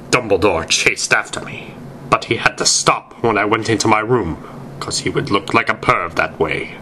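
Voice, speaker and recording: A man's voice: lowish voice, sharp tone, slight background noise, low-quality recording. A man narrating fan fiction aloud in a theatrical reading style.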